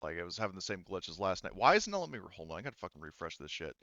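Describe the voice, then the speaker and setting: A man's voice: low voice, big pitch swings, racing, pushed and bright, no room sound, muffled recording. A man acting as game master for a tabletop game, talking casually with swearing and explaining the rules.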